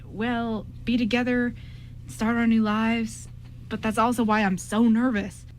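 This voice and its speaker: A woman's voice: fairly high pitch, level tone, unhurried, lightly acted. A woman playing an anxious, lovestruck young groom in an amateur radio play, stammering and repeating words. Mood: sheepish, earnest. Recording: clearly noisy amateur recording with hum.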